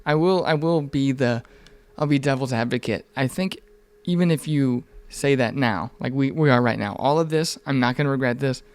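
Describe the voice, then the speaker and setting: A man's voice: mid-range voice, moderate pace, few pauses, darkish tone, smooth. A man in his mid twenties chatting candidly on a podcast in an informal, rambling, earnest way, with some swearing. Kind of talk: casual, candid podcast conversation.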